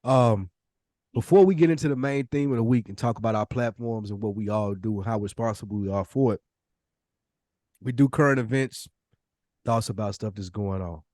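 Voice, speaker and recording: A man's voice: fairly low pitch, moderate pace, darkish tone, no room sound, studio quality. A man hosting a podcast in a casual, slangy, informal way. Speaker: podcast host. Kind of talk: casual chat.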